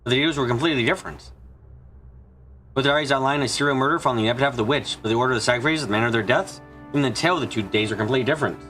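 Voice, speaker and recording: Quick-reading man over faint game music. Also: medium pitch, fairly bright tone. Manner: stumbles over words and names while reading. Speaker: streamer doing an English fan dub of a game. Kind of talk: live read-aloud dubbing of visual novel narration and dialogue.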